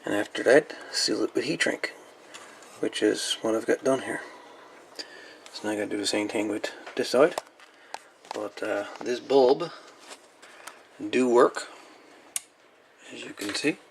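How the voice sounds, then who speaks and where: A man giving a casual hands-on repair walkthrough in a halting, folksy way with colloquial grammar. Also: lowish voice, gravelly, a little hiss or hum. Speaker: repair video host. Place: indoor electronics workbench.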